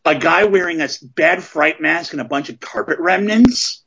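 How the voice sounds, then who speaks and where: A man in his forties with a husky, somewhat higher voice, rambling loosely through a casual podcast discussion. He doubles back and fills the pauses with ums. The sound is muffled and lo-fi. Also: a bit bright. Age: 40s. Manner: rambling, many fillers and restarts.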